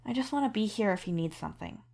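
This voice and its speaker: A woman's voice: mid-range voice, expressive, quick pace, gravelly, darkish tone, pitched above the performer's own voice. Woman acting a tired, devoted young man. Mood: reassuring. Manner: tired, mumbling, reassuring. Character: sleep-deprived young man, devoted, stubborn, softly reassuring.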